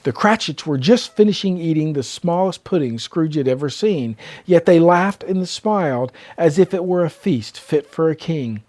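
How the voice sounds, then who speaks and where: An older man narrating a children's storybook as storyteller, with expressive, measured read-aloud delivery. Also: on the high side, no room sound.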